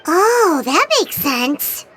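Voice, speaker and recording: A woman's voice: high-pitched, sing-song, drawn-out words, music in the background, full character voice. A woman voicing a tiny, childlike, chirpy fairy companion in a video game. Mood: curious. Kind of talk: scripted video game voice acting.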